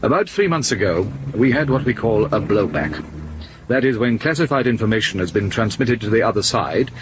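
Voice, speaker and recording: An actor playing an urbane, evasive secret service officer in a radio drama, drily condescending and smug. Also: male voice, on the low side, lively intonation, brisk, dull audio, noisy background, natural voice.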